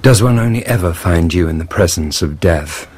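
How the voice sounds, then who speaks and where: An actor playing a shattered, disgraced prosecutor, dazed and bitter, with a husky, breathy voice and halting delivery in a radio drama. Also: male voice, low-pitched, darkish tone. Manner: halting, distracted.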